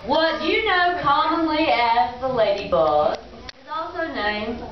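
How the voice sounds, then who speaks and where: High-voiced woman in a very echoey room. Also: sing-song, takes it slowly. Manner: addresses children, leading a sing-song rhyme. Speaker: children's puppet show presenter. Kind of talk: live storytelling with audience chant. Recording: echoey room, distant dull mic, noisy crowd. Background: audience of children.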